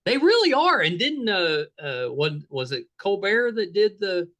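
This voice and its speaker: A man's voice: high voice, very animated, a little slow, a bit bright. A man hosting a podcast, chatting casually and in a friendly way with his guest. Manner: friendly, chatty, self-deprecating. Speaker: podcast host. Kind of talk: casual interview chat.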